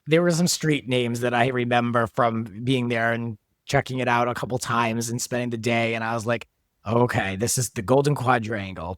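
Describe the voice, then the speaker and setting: Man, creator-writer, even middle voice, thoughtful explainer, dry close mic. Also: mid-range voice, dry recording. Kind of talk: casual chat.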